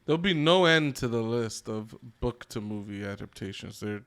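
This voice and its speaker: A man's voice: on the low side, animated, normal speed, a bit bright. Emphatic man bantering on a podcast. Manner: emphatic, hyperbolic, slangy and profane. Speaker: podcast co-host. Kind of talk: casual podcast banter about movies.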